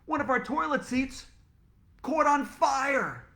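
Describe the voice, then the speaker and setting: A man voicing a breathless adult man who shouts alarming news. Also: high-pitched, lively intonation, normal speed, voice pushed up. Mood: alarmed. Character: breathless adult male school worker. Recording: roomy echo.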